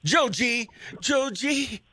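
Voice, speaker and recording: A man's voice: very high voice, animated, drawn-out words, bright, forceful tone, no echo. A man hosting a live morning radio show, chatting exuberantly and slangily as he runs giveaways and phone-in games.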